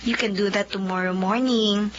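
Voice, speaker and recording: A woman's voice: medium pitch, fairly even intonation, normal speed, close to an everyday voice. An actress voicing a teasing, cheerful female coworker with a playful, giggly manner. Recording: dull lo-fi audio with faint hiss.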